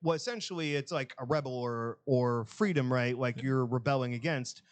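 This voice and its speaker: A man's voice: mid-range voice, normal speed, clear, resonant tone. A man with an American accent hosting a podcast in a casual, joking, blunt style with some swearing. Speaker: podcast host. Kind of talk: casual chat.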